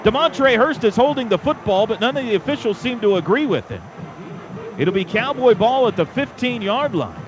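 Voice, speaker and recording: A man's voice: very high-pitched, sing-song, moderate pace. An American man calling live football on radio as play-by-play announcer, in short clipped present-tense bursts. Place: stadium radio booth. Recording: dull, noisy stadium radio broadcast. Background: clear outdoor stadium background noise.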